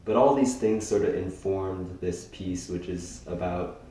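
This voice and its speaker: A young man from Hawaii, a filmmaker being interviewed, speaking thoughtfully with many pauses and restarts. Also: low voice, warm tone, slight background noise. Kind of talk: casual interview talk. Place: festival venue indoors. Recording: very echoey room.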